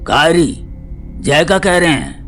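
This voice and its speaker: A man's voice: a little high, sing-song, slow pace, fairly bright tone, full character voice. A man playing a worried middle-aged father in an audio drama, pleading and bewildered. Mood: upset, urging. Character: frightened, pleading father of a grown daughter. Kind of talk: Hindi audio drama acting. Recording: music bed under the voice.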